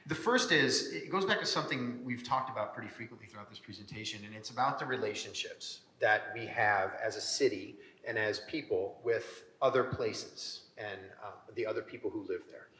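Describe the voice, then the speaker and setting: A man with an American accent giving an informal public presentation, halting at times and repeating words for emphasis. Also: fairly low pitch, fairly bright tone. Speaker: city mayor presenting. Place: presentation hall before an audience. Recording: echoey hall, long reverb.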